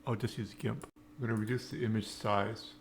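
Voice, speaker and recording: Low, creaky-voiced man thinking aloud. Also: little pitch movement, slight background noise. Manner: hesitant, halting, unscripted phrasing. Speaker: programming tutorial presenter. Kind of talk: casual screencast tutorial, thinking aloud while coding.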